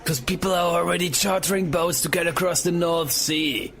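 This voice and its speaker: An actor playing a casual, inquisitive, slightly anxious drinking companion in an audio drama. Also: male voice, fairly high pitch, moderate pace, fairly bright tone, soft background music. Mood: curious, uneasy. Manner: casual, questioning. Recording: crowded room noise behind.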